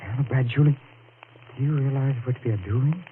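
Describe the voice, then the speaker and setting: A man playing a tormented, guilt-ridden, lovesick killer in 1940s radio suspense, with a halting, melodramatic delivery. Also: mid-range voice, close to an everyday voice. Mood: troubled, conflicted. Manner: halting, melodramatic, repeats words. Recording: muffled, noisy 1940s radio recording.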